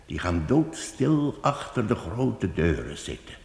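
An actor playing a calm, shrewd wandering minstrel in a radio play, speaking in measured tones. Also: male voice, fairly low pitch, reverberant, in a large hall, heavily acted. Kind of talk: radio drama acting.

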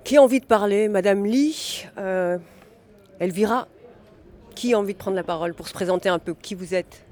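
A man interviewing guests, asking long, halting, rambling questions. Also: high-pitched, a little mellow.

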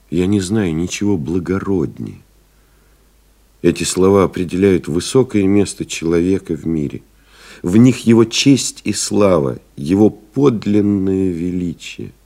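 A deep, expressive pilot-writer reminiscing. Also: male voice, full character voice. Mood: solemn, reverent. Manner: measured, solemn, emphatic. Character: reflective male pilot-writer recalling friends and desert flights. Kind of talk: dramatic literary narration in a radio play.

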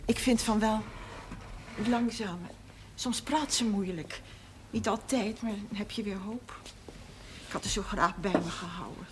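An actress playing a modest, anxious middle-aged mother, halting and trailing off, in a television drama. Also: mid-range voice, lightly acted. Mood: subdued sadness. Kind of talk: naturalistic television drama acting. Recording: 1970s television audio with background noise.

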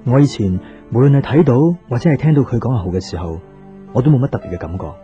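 An actor with a Hong Kong Cantonese accent playing a brooding, jealous young boyfriend in a radio drama. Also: male voice, mid-range voice, expressive, quick pace, warm tone, lightly acted. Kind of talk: Cantonese radio drama, inner narration and dialogue. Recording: dull lo-fi radio recording with music.

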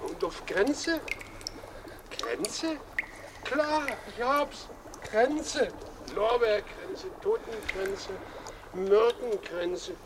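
A man's voice: high voice, animated, drawn-out words, pauses often, noisy background, exaggerated voice. An actor playing a drunk, mocking man in a radio drama, rambling and rhyming with a slurred drawl. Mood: tipsy, mocking. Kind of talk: German radio drama acting.

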